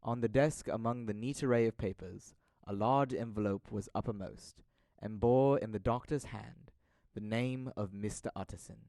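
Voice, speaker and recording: A man's voice: fairly low pitch, normal speed, a little mellow, dry recording. A young man reading scripted dramatic narration of a classic tale, as narrator of an audio drama. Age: young adult.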